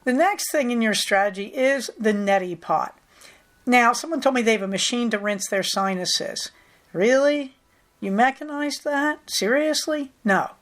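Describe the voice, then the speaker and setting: A middle-aged woman with a slightly high voice talks through her knitting projects in an easy, chatty way. She is still getting over a cold. Her delivery is matter-of-fact, with the odd dry, wry aside. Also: a little slow. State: recovering from a cold. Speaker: knitting podcast host. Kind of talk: casual chatty project update.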